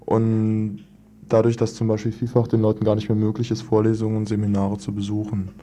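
Very dark, flat-voiced young man. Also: fairly low pitch, brisk, slight background noise. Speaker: student activist guest. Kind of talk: spontaneous interview answers.

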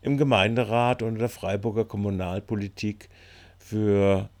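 Low-voiced man, wry local reporter. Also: steady tone, moderate pace, a little mellow. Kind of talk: local news commentary.

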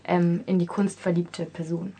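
A woman's voice: lowish voice, flat delivery, unhurried, gravelly, dark tone, slightly rough. A young woman from Swabia introducing herself casually as an interview guest. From Swabia, Germany. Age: young adult. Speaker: interview guest, student musical performer.

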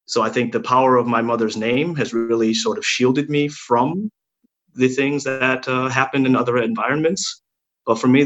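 A man speaks as a panelist, giving a reflective personal account. His voice has an average male pitch. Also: a bit bright, low-quality recording.

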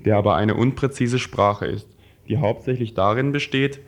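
A man's voice: mid-range voice, normal speed. A man reading prepared political texts aloud in a scripted radio style.

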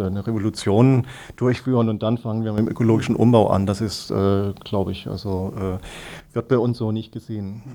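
A man with a low, dark-toned voice answers as a political spokesman in a radio interview. His delivery stays very even, and he picks his way through explanations with some hesitation.

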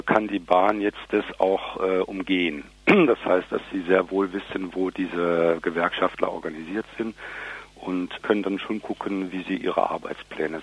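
Deep, husky male interviewee. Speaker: interviewee, anti-nuclear activist. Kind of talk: casual spoken interview.

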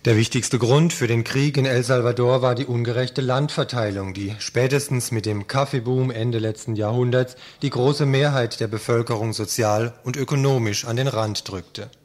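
A man reads a news report from a script at an even, measured pace. His voice sits at a middle pitch. The delivery is that of a radio presenter. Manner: steady, measured reading.

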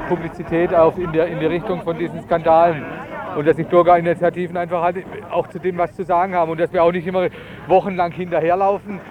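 A man who organizes a citizens' initiative, giving a reflective assessment of a protest in an interview. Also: a little high. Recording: dull outdoor recording with loud traffic.

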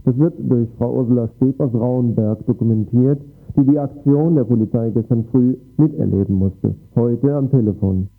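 A man's voice: medium pitch, moderate pace. A man presenting local radio news, reading from a script. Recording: thin narrow-band radio, faint music.

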